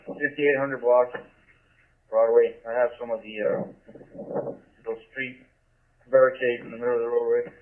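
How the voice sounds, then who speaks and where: Cop calling in, flat monotone, tinny radio with some noise. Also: male voice, mid-range voice, moderate pace, long pauses. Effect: police radio. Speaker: police officer. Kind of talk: police radio traffic.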